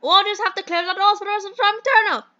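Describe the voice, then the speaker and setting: A woman voicing a pompous, cheerful old-fashioned British gentleman boss in a comic audio drama, with theatrical, quaint exclamations. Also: extremely high pitch, steady tone, rapid-fire, lo-fi sound. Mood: jolly, pompous.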